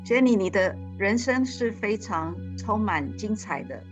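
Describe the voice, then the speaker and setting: A woman's voice: mid-range voice, expressive, unhurried, lo-fi sound, faint music behind. A woman interpreting live, speaking with fillers and frequent restarts.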